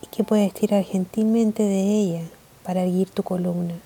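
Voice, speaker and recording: A woman leading a guided meditation in a calm, gentle, measured way of speaking. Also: medium pitch, husky.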